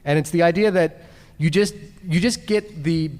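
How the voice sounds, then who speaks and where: A man's voice: a little high, moderate pace, a little mellow. Conversational man in an echoey hall. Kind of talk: casual live panel discussion. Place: large indoor convention panel room with live audience.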